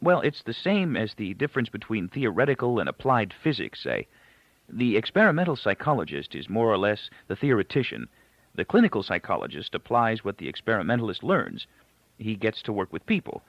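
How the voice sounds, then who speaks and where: A man reading the part of an earnest, soft-spoken young male student who explains things in a measured, thoughtful way. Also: on the low side, wildly swooping pitch, no echo, heavily acted. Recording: dull, lo-fi, close dry recording.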